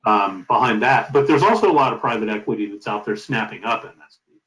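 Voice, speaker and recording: A middle-aged man being interviewed as a company founder, giving advice in an emphatic, deliberate conversational way. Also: on the low side, expressive, a bit bright, a little hiss or hum. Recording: roomy, echoing sound.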